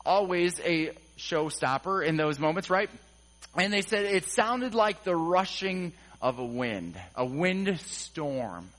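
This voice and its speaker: Male pastor, high tenor, casual and humorous pulpit delivery. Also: slow, low-quality recording. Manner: conversational, acts out quotes with humor, rising excitement. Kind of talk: sermon.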